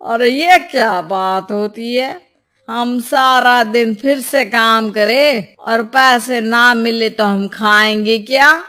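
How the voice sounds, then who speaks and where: A woman's voice: fairly high pitch, takes it slowly, bright, forceful tone. A woman playing scoffing, doubtful naysayers in a moral tale, with a scolding, challenging manner. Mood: indignant.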